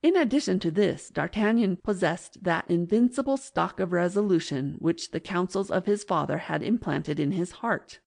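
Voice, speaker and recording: A woman reading classic fiction as an audiobook narrator in a measured, literary manner. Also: on the low side, vocal fry, darkish tone.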